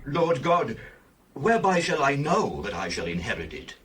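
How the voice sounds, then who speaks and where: A man's voice: on the high side, wildly swooping pitch, moderate pace, fairly bright tone, breathy edge, heavily acted. An actor playing an earnest, devout, pleading Hebrew patriarch, a man speaking reverently in a dramatized scripture reading. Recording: distant from the microphone.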